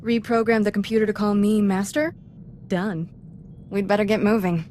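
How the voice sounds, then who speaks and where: A brash young female apprentice voiced by an actress talks in short, flippant bursts at a medium pitch. She sounds restless and casual, in game dialogue with faint noise behind. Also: normal speed, a little mellow, natural voice. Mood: brash, playful. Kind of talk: video game character acting.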